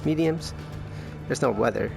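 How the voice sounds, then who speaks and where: A man giving low-key, casual commentary on his sim race, thinking aloud, his voice worn from losing it. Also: unhurried, gravelly. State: losing his voice, clearing throat. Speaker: sim racing streamer. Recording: music and car engines behind. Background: racing game music and engine sound.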